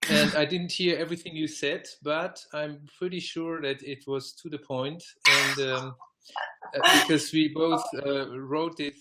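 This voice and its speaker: Man presenting haltingly on a conference call. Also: a little high, background noise. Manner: halting, hesitant phrasing. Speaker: project meeting presenter. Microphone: computer microphone on online call.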